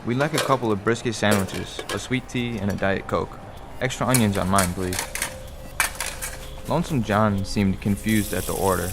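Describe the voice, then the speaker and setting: A middle-aged man playing a wry, measured father who narrates a strange roadside tale in a radio play. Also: fairly low pitch, steady tone, background noise, lightly acted. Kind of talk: scripted first-person radio play narration. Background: music.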